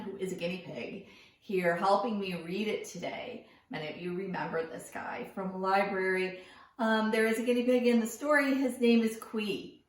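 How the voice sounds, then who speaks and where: A woman librarian reading a picture book aloud to children, with lively, expressive storytelling. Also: medium pitch.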